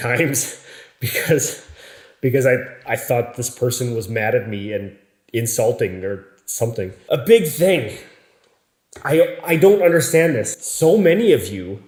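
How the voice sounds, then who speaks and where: Brief: American man, vlog host, slow careful delivery, mid pitch, echoey room. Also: darkish tone. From United States. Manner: slow, deliberate, clearly spoken. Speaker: vlogger. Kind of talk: casual vlog talk to camera.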